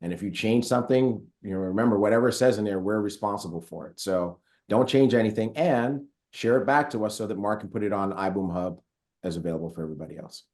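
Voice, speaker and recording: A man with a fairly low voice hosts a live company training over a video call. He keeps things upbeat and casual, calling out viewers' chat comments with plenty of fillers along the way. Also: quick pace, a little mellow. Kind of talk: casual live webinar hosting.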